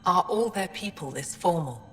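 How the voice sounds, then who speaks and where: Game casting: guarded female alien lead scientist acted by a woman, lower register, practical skeptic, long reverb with faint music. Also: animated, moderate pace, a little hiss or hum, close to an everyday voice. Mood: guarded, dry. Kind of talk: video game dramatic acting.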